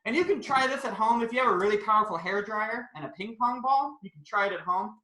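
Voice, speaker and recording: Bright-voiced kids' science presenter in echoey room. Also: mid-range voice, expressive, fast talker. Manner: informal, playful, chatty. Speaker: science show presenter for children. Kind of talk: live interactive science demonstration for kids. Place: indoor room, live online video call. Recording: roomy echo.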